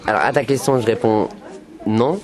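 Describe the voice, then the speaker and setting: A man from France speaking calmly and deliberately with a group of young people, repeating his phrases for emphasis. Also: mid-range voice. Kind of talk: frank group discussion. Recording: noisy room with crowd chatter. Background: chatter of a group of youths.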